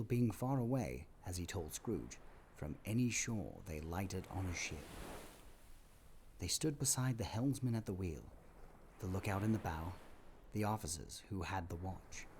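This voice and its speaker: A man narrating a scripted audio play as storyteller, in a dramatic, expressive manner. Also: low voice, measured pace, slight background noise.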